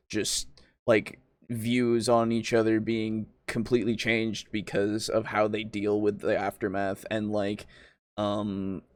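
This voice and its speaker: A man's voice: on the low side, no echo. A man co-hosting a casual roleplaying discussion, speaking informally and swearing freely. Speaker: podcast co-host and game master.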